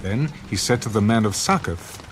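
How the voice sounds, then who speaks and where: A man giving solemn, measured narration of scripture. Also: on the low side, animated, background noise. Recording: loud background music.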